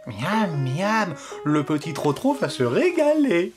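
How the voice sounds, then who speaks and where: A kindly papa donkey in a preschool cartoon, gentle and teasing. His delivery is slow and very melodic, over clear background music. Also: a little high, very animated. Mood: playful. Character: kindly grown-up father donkey, playful and encouraging. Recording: music bed behind the voice.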